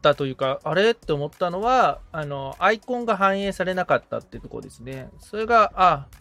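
A man's voice: sits high, sing-song, fairly quick, gravelly, bright, forceful tone. A man chatting casually as a podcast assistant, agreeable and reflective as he takes advice. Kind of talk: casual conversation with frequent listening responses.

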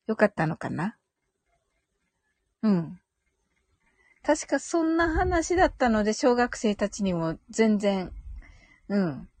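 A woman's voice: mid-range voice, expressive, takes it slowly, long pauses, darkish tone, no echo. A woman hosting a casual live audio show, chatting with listeners in a giggly way and thinking aloud as she goes.

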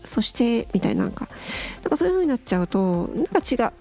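A woman's voice: mid-range voice, sing-song, rapid-fire, creaky, dark tone, soft background music. A woman hosting an unscripted solo audio show, murmuring casually as if to herself, close to the mic. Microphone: close to the microphone.

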